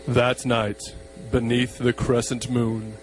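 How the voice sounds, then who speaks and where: A man narrating an amateur horror radio play in a solemn, measured, portentous way. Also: mid-range voice, level tone, heavy background noise.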